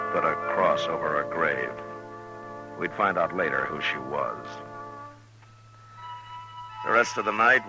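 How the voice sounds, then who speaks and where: An American actor playing a weary, steady frontier marshal in a 1950s radio Western. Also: male voice, deep voice, poor audio. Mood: reflective. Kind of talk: 1950s radio acting with first-person narration. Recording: 1950s radio recording with music.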